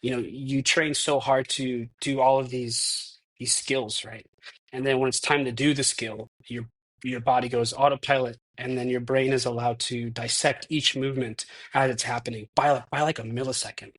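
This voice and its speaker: A man's voice: mid-range voice, fairly even intonation, moderate pace, fairly bright tone, low-quality recording. A man of thirty-one, a stuntman guest in a casual interview, talking reflectively with many restarts. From United States. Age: 30s.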